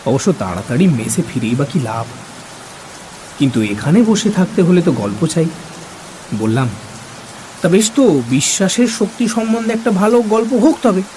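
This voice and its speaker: An actor playing a curious, coaxing listener who narrates an audio horror story in an expressive, literary way. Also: male voice, sits high, moderate pace, long pauses, low-quality recording. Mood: atmospheric. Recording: faint noise under the voice. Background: rain sounds.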